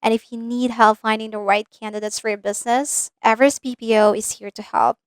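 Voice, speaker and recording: A woman's voice: fairly high pitch, steady tone, fairly quick, smooth, dry recording. A woman from the Philippines with a Filipino accent, giving a scripted business lesson in a direct way and stopping to ask the viewer checking questions. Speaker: business coach. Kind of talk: scripted instructional video.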